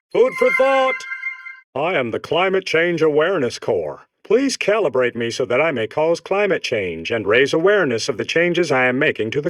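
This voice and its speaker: A man's voice: on the high side, fairly bright tone, no echo. A man voicing a smug, villainous robot in a comedy game, delivering bombastic mock public-service announcements. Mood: furious. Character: smug villainous robot core proudly causing climate change. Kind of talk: comic game character monologue.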